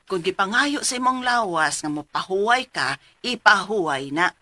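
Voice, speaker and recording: A bright-voiced, middle-aged mother in a radio drama. She nags and exclaims with concern, her pitch swinging widely, recorded close and dry. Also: expressive, moderate pace, dry recording. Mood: coaxing. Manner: nagging, exclamatory. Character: concerned, scolding mother of a college student.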